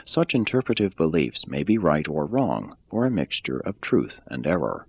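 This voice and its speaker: A man reading a religious text aloud in a measured, deliberate way. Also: extremely low pitch, very animated, slightly rough, no room sound, dull audio. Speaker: reader.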